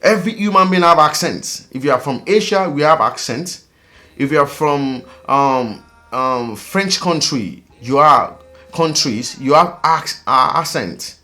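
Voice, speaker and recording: Animated man from Africa, rambling vlog talk. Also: fairly high pitch, measured pace. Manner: rambling, animated, frequent fillers, laughs while talking. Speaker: vlogger host. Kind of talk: casual monologue vlog.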